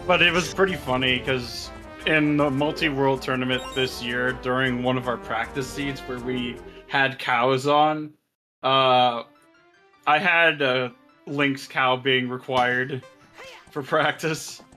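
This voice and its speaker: Guy with a bright edgy voice doing chill race commentary, nerdy logic talk and little jokes. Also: medium pitch, steady tone, soft background music. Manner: jokey, analytical, quick asides. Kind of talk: casual live race commentary.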